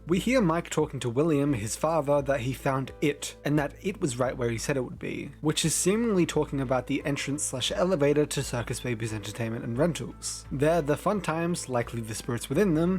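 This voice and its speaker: A man narrating scripted, analytical commentary on game lore in an explanatory, opinionated manner. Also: fairly high pitch. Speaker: video essay narrator. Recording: voice-over with music underneath. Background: background music.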